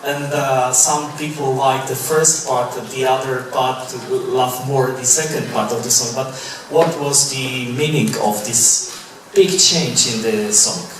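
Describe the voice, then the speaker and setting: A man from Poland, a radio journalist asking a long question while halting and searching for words. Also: medium pitch, fairly even intonation, fairly bright tone. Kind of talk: press question. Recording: echoey noisy room, far from mic.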